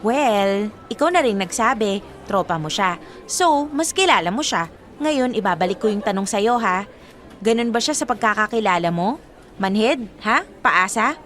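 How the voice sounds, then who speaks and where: A woman's voice: medium pitch, animated, moderate pace, a bit bright, soft background music, close to an everyday voice. A young woman with a Filipino accent playing a loyal, defensive college student in a conversational radio drama. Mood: teasing, indignant. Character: loyal, defensive female college student selling food for friend.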